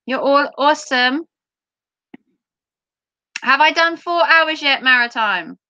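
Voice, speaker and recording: A chatty, playful woman talks to her livestream viewers in a high, bright voice that is starting to go hoarse. The sound is dry and close, with a slightly dull, muffled quality. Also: expressive, takes it slowly, no echo. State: voice going hoarse. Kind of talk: casual chat with viewers.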